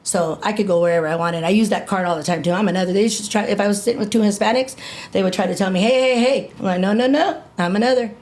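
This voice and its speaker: A woman in her fifties telling a gritty personal story in a casual interview, animated, repeating herself and swearing freely. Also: medium pitch, fast. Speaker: interview guest.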